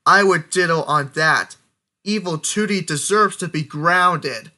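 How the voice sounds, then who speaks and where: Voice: mid-range voice, measured pace, sharp tone. A synthetic text-to-speech voice playing a playful cartoon Jedi master.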